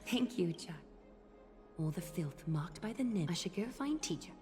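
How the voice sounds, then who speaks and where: A cold, courtly demigod warrior woman, played by an actress in a video game. She speaks in measured, formal, poetic lines with quiet menace, over clear soundtrack music. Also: fairly low pitch, animated, close to an everyday voice. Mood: gentle, restrained. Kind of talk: scripted video game acting.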